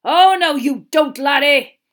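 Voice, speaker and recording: Storybook role, female reader: fierce old male professor, gravelly, growling, menacing, blunt delivery. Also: sits high, normal speed, a bit bright, pitched above the performer's own voice. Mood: furious, barking.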